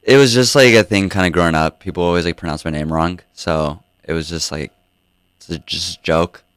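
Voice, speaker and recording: Laid-back low-voiced man, smooth. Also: a little fast, fairly bright tone, no room sound, studio quality. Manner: laid-back, many "like" fillers. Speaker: DJ and podcast host guest. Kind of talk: casual interview answers.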